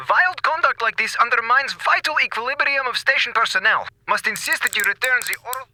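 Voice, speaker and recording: A man's voice: very high-pitched, fairly quick, bright, forceful tone, slight background noise, heavily acted, low-quality recording. An actor playing a stiff, officious male station doctor who talks in a clipped, formal way and drops little words. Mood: stern.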